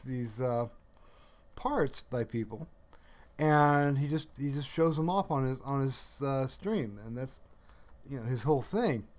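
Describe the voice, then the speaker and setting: A man chatting casually on a livestream in a halting, rambling way, often searching for words. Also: a little high. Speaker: live streamer.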